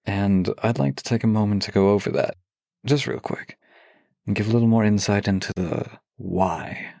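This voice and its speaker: A man co-hosting a podcast in an intimate, measured, soft-spoken style, like a voice actor presenting written material. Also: lowish voice, creaky, no room sound, clean studio sound. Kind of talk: intimate podcast talk with a read-aloud letter.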